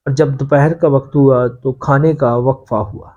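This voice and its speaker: A man's voice: mid-range voice, steady tone, measured pace, a little mellow, lo-fi sound. A man reading a serial story aloud in a scripted, dramatic narration style. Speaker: audiobook narrator.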